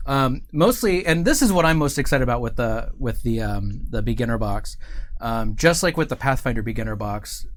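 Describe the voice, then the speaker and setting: A man's voice: medium pitch, expressive, moderate pace. A middle-aged man hosting a casual studio livestream, joking and rambling with lots of fillers. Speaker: host. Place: studio set with couch and table.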